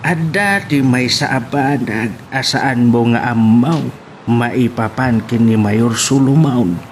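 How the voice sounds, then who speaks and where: Man doing a nosy ilocano uncle, slow talker, radio drama, slight hiss behind. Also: medium pitch, lightly acted. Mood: teasing, cautioning. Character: nosy, teasing older uncle.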